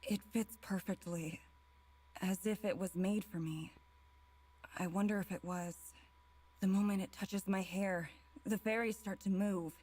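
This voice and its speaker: A woman's voice: mid-range voice, fairly even intonation, measured pace, pauses often, a little mellow. A woman reading a visual novel's dialogue and first-person narration aloud.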